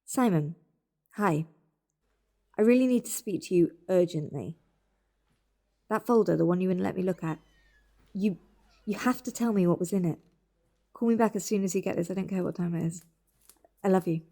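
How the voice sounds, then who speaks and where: A woman's voice: fairly low pitch, moderate pace, long pauses, dark tone. A woman playing a protective, bossy older sister in a naturalistic audio drama, firm and insistent. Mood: urgent.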